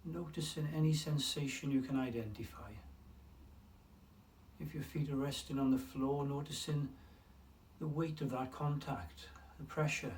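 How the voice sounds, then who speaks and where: A man leading a guided mindfulness meditation in a calm, unhurried way, leaving long pauses between phrases. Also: mid-range voice. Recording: distant mic with faint room noise.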